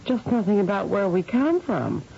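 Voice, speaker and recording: A mid-pitched radio-drama wife. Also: female voice, very animated, moderate pace, gravelly, mellow, breathy edge, exaggerated voice. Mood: fond, teasing. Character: affectionate, teasing wife, easily flustered. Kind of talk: 1970s radio drama acting. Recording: noisy, dull vintage radio broadcast.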